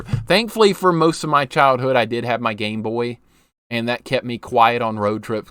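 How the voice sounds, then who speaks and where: Rambling man in his forties. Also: fairly low pitch. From Alabama. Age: 40s. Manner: rambling, self-interrupting, chatty. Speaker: game streamer. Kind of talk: casual live-stream chat while playing. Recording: game music playing behind.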